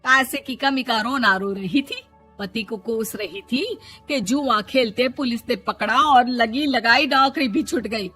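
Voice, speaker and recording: Woman playing a husky, stern old grandmother. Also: on the high side, a little slow, pushed and bright, faint music behind, pitched above the performer's own voice, exaggerated voice. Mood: sarcastic. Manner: scolding, blunt, sarcastic. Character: stern, disapproving elderly grandmother and mother-in-law.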